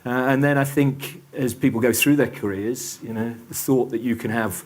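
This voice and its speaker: A man's voice: medium pitch, steady tone, normal speed. A man speaking as a panelist in a panel discussion, hesitant, with frequent fillers and restarts.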